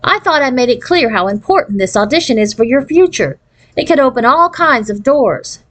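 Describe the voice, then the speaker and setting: A woman's voice: sits high, expressive, normal speed, a bit bright, pitched above the performer's own voice. A woman reading a storybook as a bossy, pushy mother talking to her young daughter. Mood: stern.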